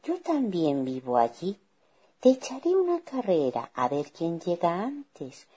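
Woman acting a wicked storybook wolf, falsely sweet, wheedling, slow, wide swoops in pitch. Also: huge pitch swings, dark tone, slightly rough, full character voice. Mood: sly, wheedling. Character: sly, wicked big male wolf, sweet-talking predator.

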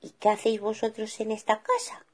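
A woman's voice: mid-range voice, level tone, a little fast, darkish tone, husky, voice pushed up, lightly acted. A woman voicing a lost little blonde girl who chirps with wide-eyed wonder.

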